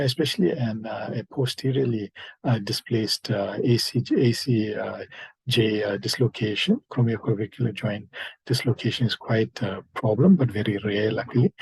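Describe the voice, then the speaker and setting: A man with a very husky, creaky voice talks through an informal radiology lecture. His delivery is hesitant and conversational, full of fillers, and the sound is dry and close. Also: mid-range voice, a little mellow, no echo. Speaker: medical lecturer, emergency doctor.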